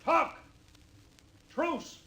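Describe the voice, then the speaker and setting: An actor playing a steady, reasoning expedition captain in a 1950s radio drama, measured and plain-spoken. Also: male voice. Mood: urgent calling. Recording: old radio broadcast recording.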